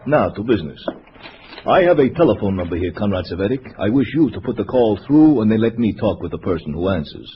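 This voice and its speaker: Veteran communist agent, male, stiffly commanding, highly animated intonation, muffled 1950s radio drama recording. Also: a little fast. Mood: formal. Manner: stiff, formal, commanding phrasing. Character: formal, suspicious veteran Soviet party agent, male. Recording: dull, faintly noisy old radio recording.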